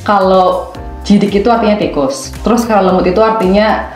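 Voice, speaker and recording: A young man with a Banyumas Javanese accent, co-hosting an educational podcast and explaining terms in a steady way. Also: high-pitched, fairly quick, roomy sound, soft background music. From Banyumas, Central Java. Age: young adult. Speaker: podcast co-host, intern student. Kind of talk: scripted educational chat.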